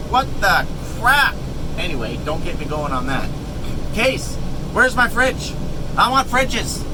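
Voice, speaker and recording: Farmer, male, hoarse and losing his voice, animated and ranty, slightly high pitch, engine noise behind. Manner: animated ranting, exclaims in bursts. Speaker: farmer reviewing a sprayer. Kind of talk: casual spoken review.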